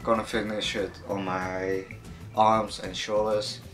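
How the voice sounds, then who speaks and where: A young man answering viewer questions in a casual fitness vlog, relaxed and hesitant, with many fillers and some casual swearing. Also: fairly low pitch, slow, faint music behind. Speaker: fitness vlogger.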